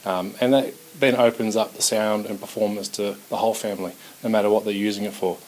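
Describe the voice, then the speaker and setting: A man's voice: lowish voice, steady tone, quick pace, darkish tone, low-quality recording. A man speaking casually and unscripted, giving a product testimonial as an installer.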